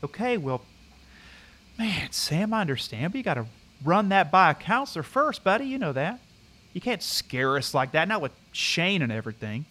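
A man's voice: high voice, very animated, moderate pace, sharp tone, exaggerated voice. An actor playing a concerned, chatty young male camp counselor in an audio drama, talking casually and earnestly. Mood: gentle scolding.

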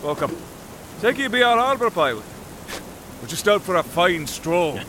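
An actor playing a breezy Scottish ship captain who talks in a brisk, jokey way. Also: male voice, sits high, sing-song, background noise. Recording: rain behind.

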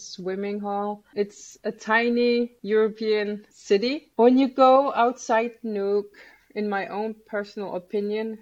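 A woman's voice: mid-range voice, darkish tone. A woman from Greenland speaks English with a Greenlandic accent, her first language being Greenlandic. She talks in an earnest, plainspoken way as an interview guest. The sound is slightly dull and lo-fi. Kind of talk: conversational interview.

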